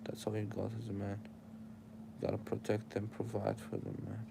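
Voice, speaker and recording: A man's voice: on the low side, monotone, normal speed, vocal fry, heavy background noise. A young man with an Arabic accent, sleepy and tired, preaching casual life advice straight to his livestream chat.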